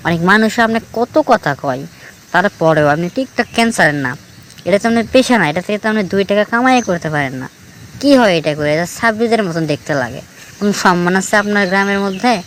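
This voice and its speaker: A man's voice: high voice, lively intonation, fast talker, fairly bright tone, a little hiss or hum. A man with a northern Bangladeshi accent playing an exasperated, scolding village friend in a cartoon skit. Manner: scolding, lecturing. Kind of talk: colloquial dialogue in a cartoon skit.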